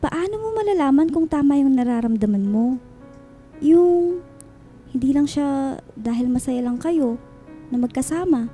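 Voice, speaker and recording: A young Filipino student in a radio drama, chatty yet unsure of her heart. Her voice is very high and gently mellow, with light music underneath. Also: lively intonation, a little slow, long pauses. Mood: unsure, confiding. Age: young adult. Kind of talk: radio drama acting with English code-switching.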